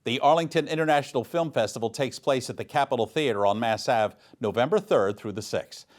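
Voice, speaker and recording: Measured male local news anchor. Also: mid-range voice. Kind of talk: scripted local news narration.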